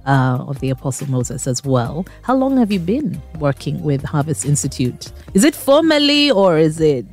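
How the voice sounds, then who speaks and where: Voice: mid-range voice, normal speed, soft background music. An interview host asking questions in an easygoing way, often encouraging the guest with short responses. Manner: lively with frequent backchannels and laughter. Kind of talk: casual interview conversation.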